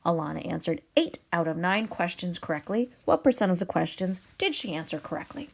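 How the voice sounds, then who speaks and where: A woman teacher explaining a math problem step by step, her voice raspy from a cold. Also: on the low side, expressive, a little fast. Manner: upbeat, patient explaining with fillers. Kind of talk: video math lesson.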